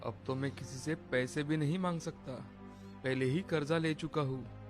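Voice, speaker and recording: A man plays a poor village father who sells food in a cartoon tale. His voice is a little high, humble and politely deferential, over soft music. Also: fairly even intonation, moderate pace, close to an everyday voice. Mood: worried. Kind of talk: animated moral-story dubbing.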